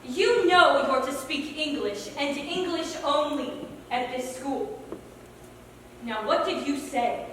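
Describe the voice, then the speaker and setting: Actress as strict, high-voiced schoolteacher. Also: female voice, animated, slow pace, fairly bright tone, full character voice. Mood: stern. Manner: firm, instructive. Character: strict, correcting sewing teacher at boarding school. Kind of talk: stage play acting. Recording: echoey hall, distant mic, noisy.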